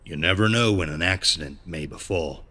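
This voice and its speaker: An actor playing a falsely courteous debt collector in an audio horror drama, with a slow, menacing politeness. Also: male voice, low-pitched, bright, forceful tone, breathy edge, full character voice. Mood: mock concern. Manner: slow, mock-concerned, formally polite, menacing.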